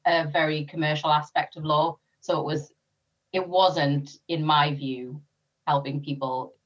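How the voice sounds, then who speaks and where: A woman's voice: low-pitched, little pitch movement, measured pace, muffled recording. A woman with a North East English accent, born in Sri Lanka, chatting as a podcast guest with many ums and easy laughs. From Sri Lanka, raised in North East England. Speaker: interview guest, former police officer. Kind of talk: casual interview chat.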